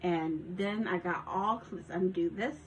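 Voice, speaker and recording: Slow-talking Southern woman in a craft room. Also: fairly low pitch, slight background noise, low-quality recording. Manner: chatty, rambling, with giggles and restarts. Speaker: crafter showing a handmade journal. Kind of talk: casual show-and-tell vlog. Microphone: phone.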